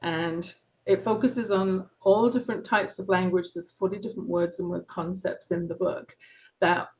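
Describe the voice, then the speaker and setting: A woman's voice: on the low side, fairly even intonation, moderate pace, rough recording. A middle-aged woman with a London English accent, an author telling her story earnestly and passionately in an interview. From England. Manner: earnest, passionate, with soft laughs and frequent ums. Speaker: author and guest. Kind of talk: reflective personal interview.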